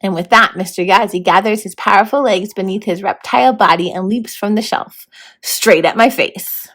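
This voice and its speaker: A woman reading a children's novel aloud as a volunteer storyteller, in an expressive narrating style. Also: mid-range voice, moderate pace.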